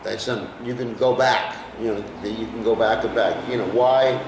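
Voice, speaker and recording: A man's voice: lowish voice, fairly even intonation, normal speed, echoey room, recorded from a distance, background noise. An American man teaching a scripture class in a conversational way, often putting questions to his listeners. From United States. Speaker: lecturer on scripture.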